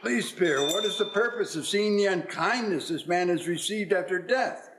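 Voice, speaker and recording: A man's voice: high-pitched, lively intonation, normal speed, noisy background. A man playing an anxious, repentant old miser, pleading theatrically in a radio play. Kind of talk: stage radio play acting.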